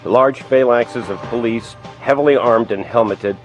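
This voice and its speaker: An actor playing a grave, matter-of-fact news anchor reading a scripted bulletin within a radio drama. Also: male voice, mid-range voice, unhurried, natural voice. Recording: dull broadcast sound, faint music.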